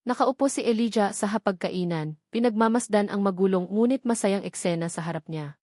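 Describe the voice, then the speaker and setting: A woman's voice: medium pitch, a little fast, warm tone, no echo, clean studio sound. A woman reading a scripted dramatic story as narrator.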